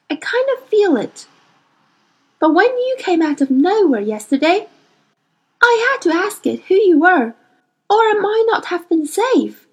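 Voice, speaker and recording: A woman voicing a fierce, defiant young girl who talks bluntly and roughly in an audiobook. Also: very high-pitched, pitched above the performer's own voice, exaggerated voice. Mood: earnest. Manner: blunt, rough informal speech. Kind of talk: audiobook character voice.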